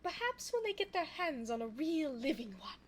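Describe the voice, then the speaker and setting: A woman playing a sly, evil, seductive immortal vampire in a close-miked ASMR audio roleplay, theatrical and coy, slipping into breathy asides. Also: high-pitched, sing-song, normal speed. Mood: coy, evasive. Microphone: close binaural 3Dio microphone. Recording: faint background noise, close binaural mic.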